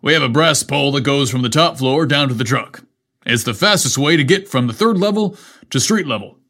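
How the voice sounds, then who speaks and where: A man's voice: mid-range voice, expressive, brisk, pushed and bright. A man voicing a brave, modest fire captain in a story, explaining things in an earnest, plainspoken way. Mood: friendly.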